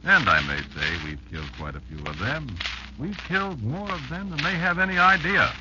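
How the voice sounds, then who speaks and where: An actor playing an old insect elder who warns his child, telling it deliberately and ominously with dramatic pauses in 1940s radio drama style. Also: a little high, sing-song, background noise. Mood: wry, instructive. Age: older. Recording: muffled old 1940s radio recording, loud music.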